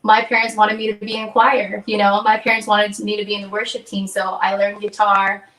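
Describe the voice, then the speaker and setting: A woman's voice: mid-range voice, flat delivery, a little fast, fairly bright tone, far from the mic. A young woman from Hawaii, a singer-songwriter guest, chatting in an upbeat way in an interview and acting out the things people said to her. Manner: upbeat, chatty, acts out quoted exchanges. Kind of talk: casual interview chat. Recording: echoey room, voice from off mic.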